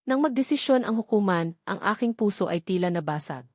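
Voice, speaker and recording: A woman's voice: mid-range voice, lively intonation, fairly quick, no room sound, studio quality. A woman with a Filipino accent reading a dramatic first-person story as a narrator.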